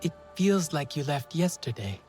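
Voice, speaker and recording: An actress playing an eager, anxious young alien woman in a video game, with a husky voice. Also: deep voice, big pitch swings, normal speed, darkish tone, soft background music, low-quality recording. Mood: disbelieving. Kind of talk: video game cutscene acting.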